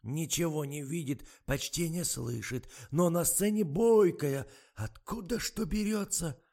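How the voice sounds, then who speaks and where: A man voicing an old theater guard who grumbles and shouts in exasperation. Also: on the high side, a little slow, creaky, pitched above the performer's own voice. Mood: grumbling.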